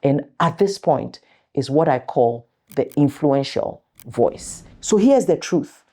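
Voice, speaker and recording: A middle-aged woman giving a motivational talk as host, speaking deliberately and emphatically in short, weighted phrases with pauses. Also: deep voice, expressive, warm tone. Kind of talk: motivational talk to an audience of viewers.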